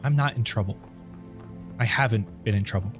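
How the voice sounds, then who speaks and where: A man's voice: fairly low pitch, brisk, music in the background, lightly acted. Man as a curt, distrustful wounded traveler. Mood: guarded, defensive. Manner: curt, defensive.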